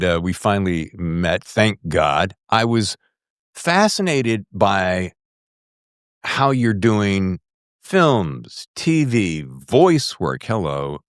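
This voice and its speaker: A man's voice: fairly low pitch, no echo, studio quality. A man with an American accent hosting a casual interview, chatty and jokey, acting out remarks as he quotes them. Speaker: podcast host.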